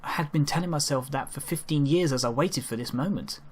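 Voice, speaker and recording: A man narrating a first-person story dramatically, in an earnest, measured and subdued way, as an amateur reader. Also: on the high side, breathy edge.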